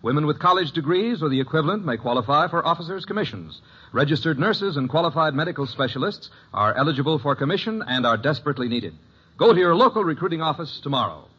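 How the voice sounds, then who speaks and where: A man's voice: on the high side, expressive, fast talker, sharp tone, breathy edge. An American man announcing and narrating from a script in the style of 1950s radio. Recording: muffled old radio broadcast recording.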